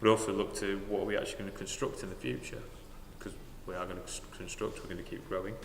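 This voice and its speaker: A man with a Northern English accent who manages university sustainability, speaking in a measured, matter-of-fact way on a live panel in a large church. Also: deep voice, little pitch movement. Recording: echoey church hall with noticeable noise.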